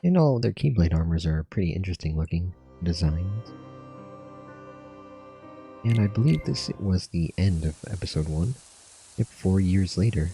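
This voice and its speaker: A man with a low, creaky voice gives relaxed commentary on a game story. His tone is mellow and dark, and music plays softly behind him. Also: moderate pace, pauses often. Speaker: video creator reading game cutscenes aloud. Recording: music under the voice.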